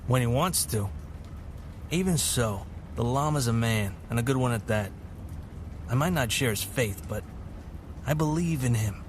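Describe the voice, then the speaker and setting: Man acting as a police commissioner, steady, plain-spoken, lively pitch, noisy backdrop. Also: a little slow, pauses often, close to an everyday voice. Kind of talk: audio drama acting.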